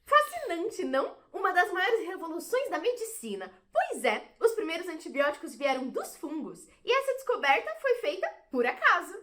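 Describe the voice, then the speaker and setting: Super high swoopy fairy, excitable and a bit mischievous, audio drama. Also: female voice, moderate pace, hardly any pauses, full character voice. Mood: pleased. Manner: dramatic, enthusiastic, storytelling. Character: theatrical female fairy guide, excitable and mischievous.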